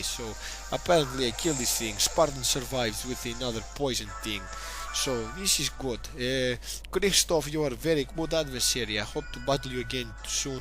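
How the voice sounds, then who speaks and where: A man talks his way through a match in a loose, rambling commentary, cracking jokes and dropping swear words along the way. He sounds a bit tipsy, and his voice sits at an ordinary middle pitch. Loud game music plays under everything he says. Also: low-quality recording. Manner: rambling, joking and profane. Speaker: gameplay commentator. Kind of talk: casual play-by-play game commentary.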